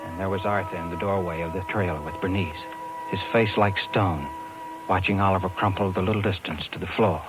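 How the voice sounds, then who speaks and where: An actor playing a shaken talent agent who narrates a 1950s radio thriller in a grave, dramatic way. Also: male voice, low voice, steady tone, brisk, over music, natural voice, poor audio. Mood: grave, haunted. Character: shaken male talent agent recounting a horror. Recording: vintage 1950s radio recording.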